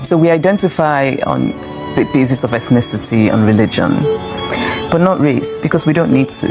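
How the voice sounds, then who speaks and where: A woman from Nigeria telling a story in a measured, reflective way. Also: extremely low pitch, animated, vocal fry, music in the background. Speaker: guest speaker. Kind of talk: reflective interview talk.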